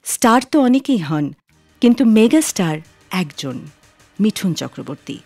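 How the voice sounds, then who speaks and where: A woman hosting a radio show, reading a scripted narration in an expressive, storytelling way. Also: medium pitch, a little slow, no echo. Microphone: close studio microphone. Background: soft music.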